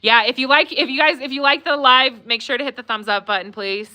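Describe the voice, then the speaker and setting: Bright, clear-voiced chatty woman vlogger. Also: high voice, fairly even intonation, brisk. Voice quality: warm, conversational, many fillers. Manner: chatty, laughs often, reads viewer comments aloud. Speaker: vlogger hosting a live Q&A. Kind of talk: casual live-stream chat.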